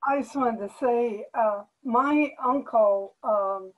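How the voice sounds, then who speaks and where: Older woman in her sixties, panelist on a webcam, slow and hesitant, thin phone-like sound. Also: a little high. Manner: slow, halting, many "uh"s. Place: home living room, indoors. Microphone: video call webcam. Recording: tinny phone-like call audio.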